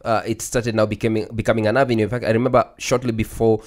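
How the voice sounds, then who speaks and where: A man with a fairly low voice speaks on a radio panel in a studio. He talks hesitantly, with frequent uh and um. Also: level tone, fast. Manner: hesitant, many uh and um fillers. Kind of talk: casual radio talk.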